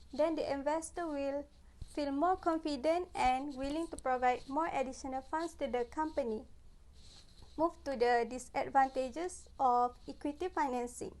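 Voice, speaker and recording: A woman's voice: sits high, a little mellow. A woman in her twenties with a Malaysian accent giving a scripted student presentation in a plain room. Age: 20s.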